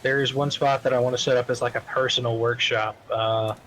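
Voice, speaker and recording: Male gamer, mid-pitched, loose rambling talk full of cursing, slight background hiss and keyboard. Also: level tone, a little fast, fairly bright tone, low-quality recording. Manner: heavily profane, rambling. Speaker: roleplay game player. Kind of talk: casual online game chat. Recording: faint background noise, keyboard typing.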